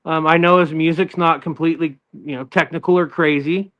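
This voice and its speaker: Middle-aged guest guitarist, a man from Northern California, smooth voice, a bit high, slightly lo-fi recording. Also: fairly even intonation, fairly quick. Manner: easygoing, rambling with many fillers and restarts. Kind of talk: casual interview chat.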